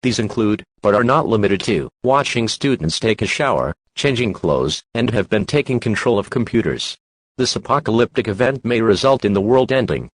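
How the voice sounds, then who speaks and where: A male text-to-speech announcer reads a formal emergency alert. The voice sits fairly low and the recording is very dry, without any room sound. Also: lively intonation, moderate pace, no echo. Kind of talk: scripted emergency broadcast announcement.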